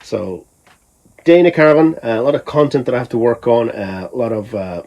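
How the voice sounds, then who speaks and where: A man's voice: mid-range voice, brisk, dark tone, muffled recording. A man from Finland, a musician, talking casually in a rambling, think-aloud way for a vlog. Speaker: musician vlogger.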